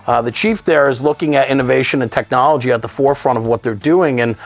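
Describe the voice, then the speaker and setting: A man's voice: mid-range voice, fast. A man hosting a studio interview, explaining things in an enthusiastic way. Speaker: show host interviewing a guest. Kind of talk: studio interview about fire apparatus.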